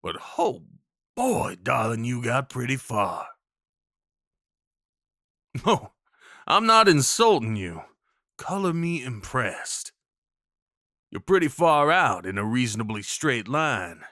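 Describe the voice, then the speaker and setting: Male actor as a courteous cowboy, very unhurried folksy drawl, reassuring, rustic slang, close dry sound, audio roleplay. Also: medium pitch, very animated, bright, forceful tone, no room sound, full character voice. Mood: admiring, friendly. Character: friendly, courteous cowboy of the Old West, gallant and reassuring. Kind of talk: audio roleplay acting, addressing the listener.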